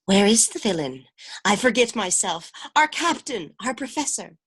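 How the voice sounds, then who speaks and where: A woman's voice: medium pitch, sing-song, normal speed, breathy edge. Woman playing theatrical, bitter, mournful castaway. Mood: dramatic. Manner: theatrical, declamatory. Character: bitter, mournful castaway with archaic, florid speech. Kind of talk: absurdist radio play acting. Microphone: video call.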